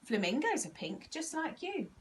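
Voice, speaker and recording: Woman playing a cheery father penguin, storybook, encouraging, bouncy varied pitch, background noise. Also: big pitch swings, normal speed.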